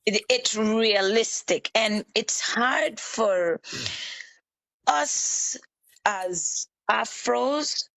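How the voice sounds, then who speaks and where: A woman's voice: fairly high pitch, drawn-out words, gravelly, fairly bright tone, no room sound, rough recording. A woman from Honduras with a Caribbean accent, earnestly telling her own stories as a guest in a discussion. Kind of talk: casual discussion.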